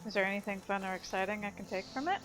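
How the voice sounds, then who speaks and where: A woman's voice: mid-range voice, very fast. A woman giving casual gameplay commentary, playfully exclaiming and talking to herself and the game. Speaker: video game streamer. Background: game music and sound effects.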